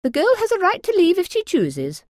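A woman playing a composed, sharp-tongued upper-class mother of a grown son in a dramatised storybook, firm and scolding. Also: very high voice, wildly swooping pitch, moderate pace, dry recording, clean studio sound, full character voice. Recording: music behind the voice.

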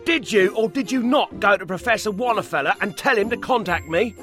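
A man playing an aggrieved, blustering, newly rich working-class man in a dramatised storybook, loudly indignant and complaining. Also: high-pitched, lively intonation, brisk, faint music behind.

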